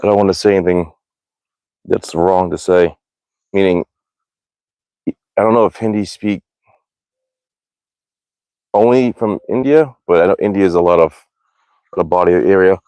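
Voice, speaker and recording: A man's voice: sits low, a little slow, pauses often, dark tone, clear, resonant tone, dry recording. An American man chatting casually on a livestream, rambling along in an informal, slangy way. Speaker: livestream host, hobby archer. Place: outdoors at a backyard archery range.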